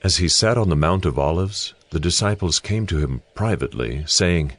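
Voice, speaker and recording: A man with a very low voice narrates scripture. The delivery is scripted storytelling, and the sound is dry with little room in it. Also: lively intonation, normal speed, no echo. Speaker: Bible narrator.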